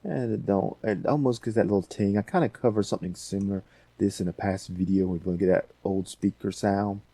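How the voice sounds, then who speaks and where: A man's voice: on the low side, fairly quick, mellow, husky, dry recording. A man hosting a casual audio editing tutorial, thinking aloud in a folksy, rambling way.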